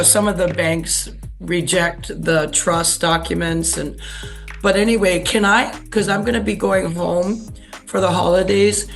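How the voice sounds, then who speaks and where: A woman's voice: on the low side, vocal fry. A woman in her seventies from Hawaii, asking casual questions as a caller on a group call. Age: 70s. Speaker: caller, course student. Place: online call.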